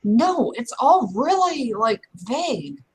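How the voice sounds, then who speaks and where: A woman playing a blunt but hesitant female werewolf in a tabletop game, talking in a halting, matter-of-fact way. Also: sits high, big pitch swings, a little mellow, breathy edge, voice pushed up, full character voice. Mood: confused, frustrated.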